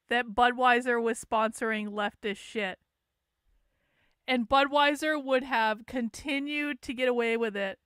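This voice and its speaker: A woman's voice: fairly high pitch, a bit bright, clear, resonant tone, no room sound, studio quality. A middle-aged woman with a Vermont accent touched with Boston, hosting a live stream and reacting bluntly to a documentary. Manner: blunt, swearing, incredulous. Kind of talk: casual reaction commentary.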